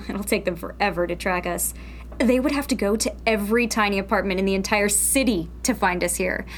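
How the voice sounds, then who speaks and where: A woman's voice: mid-range voice, brisk, slight background noise, close to an everyday voice. A woman playing a cocky, sardonic young rebel radio tech in a sci-fi radio drama, casual, wry and brash. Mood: confident.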